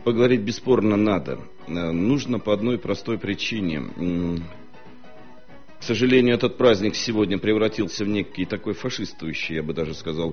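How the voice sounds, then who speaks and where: A man with a low voice holds forth like a historian on a talk show. He talks in a measured, lecturing way, often pausing to hesitate. The recording sounds muffled and lo-fi, with faint music underneath. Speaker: historian guest. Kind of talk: studio talk-show discussion.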